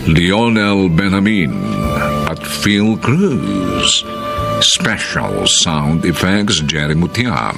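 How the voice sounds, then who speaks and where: A man with a Filipino accent narrating a radio drama in a solemn, measured, theatrical way. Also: deep voice, expressive, gravelly, over loud music. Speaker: narrator and announcer.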